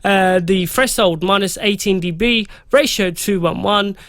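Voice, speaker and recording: High-voiced hoarse producer. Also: male voice, unhurried, fairly bright tone, no echo, studio quality. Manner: chatty and loose, reading out settings with fillers. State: slightly hoarse, losing his voice. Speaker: music producer teaching a mixing tutorial. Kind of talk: casual screen-recorded software tutorial.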